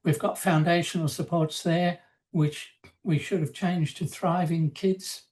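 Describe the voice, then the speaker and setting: Woman doing a webinar talk, pretty deep relaxed voice, formal and explanatory, going through slides. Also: unhurried. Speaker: webinar presenter. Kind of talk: slide presentation, semi-scripted explanation.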